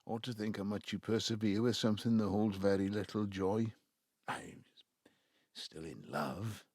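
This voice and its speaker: Husky, low-voiced Welsh patriarch. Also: male voice, a little mellow, dry recording, studio quality. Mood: bitter, brooding. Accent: South Wales Valleys. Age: 70s. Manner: halting, reflective, breaking into low muttering. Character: embittered ageing Welsh patriarch, wistful and self-justifying. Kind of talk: naturalistic audio drama monologue.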